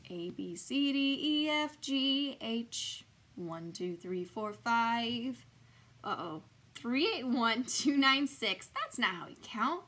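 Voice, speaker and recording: A bratty little mouse girl, as a woman puts her on for a bedtime story, sulky and dramatic, jealous of the new baby. Her voice sits high and swings up and down a lot. Each line comes out petulant and huffy. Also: sing-song, slow pace, fairly bright tone, exaggerated voice. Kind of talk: storybook reading.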